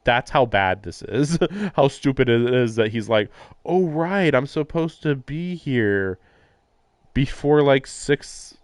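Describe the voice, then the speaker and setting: A man's voice: mid-range voice, expressive, takes it slowly, no room sound, studio quality. A man livestreaming a visual novel, casually reading narration aloud and adding sardonic, incredulous asides. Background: game music.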